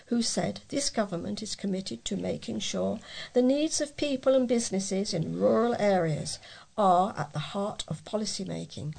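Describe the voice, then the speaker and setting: A woman reading local news aloud as a volunteer newsreader, with a husky, creaky voice. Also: medium pitch, darkish tone.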